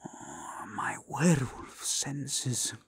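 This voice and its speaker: Werewolf inquisitor male performer: slow, gravelly half-whisper, mixed Spanish-tinged foreign accent, formal, zealous, intense ASMR roleplay delivery. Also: mid-range voice, very animated, heavily acted. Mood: solemn, intense. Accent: mixed foreign with Spanish touches. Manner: formal, courteous, deliberate, intense. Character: zealous, courtly werewolf inquisitor who sniffs out evil.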